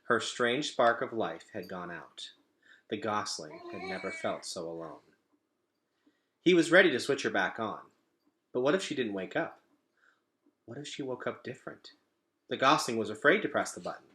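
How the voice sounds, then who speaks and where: A man's voice: lowish voice, big pitch swings, normal speed, long pauses, bright, forceful tone. Man reading a children's story aloud, expressively. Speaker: teacher reading aloud to pupils.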